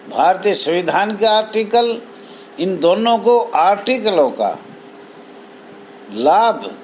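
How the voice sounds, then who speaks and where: A man giving a formal spoken appeal as an association spokesman, in a deliberate, halting, emphatic way, phrase by phrase. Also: high-pitched, slight background noise, rough recording. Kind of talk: formal address to camera, appeal.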